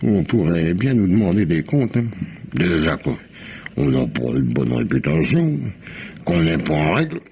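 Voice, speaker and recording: An actor playing a rough, tipsy sailor spinning a sea yarn in slangy, rambling, halting speech, in 1950s French radio mystery style. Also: male voice, low-pitched, sing-song, a little mellow, a little hiss or hum, full character voice. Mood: conspiratorial.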